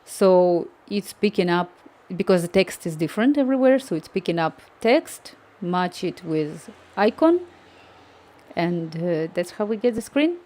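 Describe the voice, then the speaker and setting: Softly mellow woman coding live. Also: lowish voice, expressive, unhurried. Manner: thinking aloud, reading chat, many restarts. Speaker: coding streamer. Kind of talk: live-stream coding chat.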